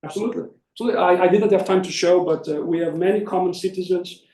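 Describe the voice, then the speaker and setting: A high-voiced man answers audience questions as an academic presenter. His tone is soft-edged and mellow. He explains step by step, often tagging a point with "right?". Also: quick pace. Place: indoor conference room. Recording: echoey room, distant mic.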